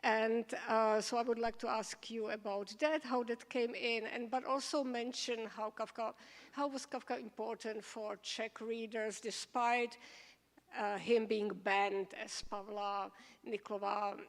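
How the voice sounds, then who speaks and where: A woman scholar interviewing a guest onstage, halting and searching for words as she asks. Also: fairly high pitch, steady tone. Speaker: scholar moderating the conversation. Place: event hall. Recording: long reverb of a hall.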